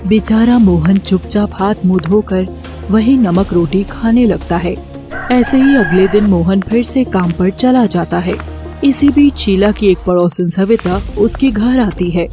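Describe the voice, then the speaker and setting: Female storyteller for scripted narration; mellow, mid-pitched voice with light background music.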